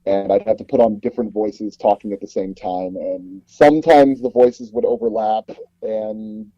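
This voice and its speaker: A man being interviewed as a guest, telling personal anecdotes in a rambling, halting way and often circling back to rephrase. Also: fairly low pitch, steady tone, dark tone, dry recording, muffled recording. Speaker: guest audio drama producer and actor.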